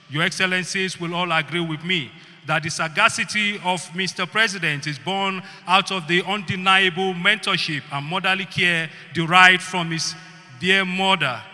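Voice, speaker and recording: A man with a Nigerian accent giving a prepared, grandiloquent formal address as a producer, in deliberate phrases. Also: sits high, sharp tone. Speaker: executive producer giving a speech. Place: large banquet hall. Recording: reverberant hall PA sound.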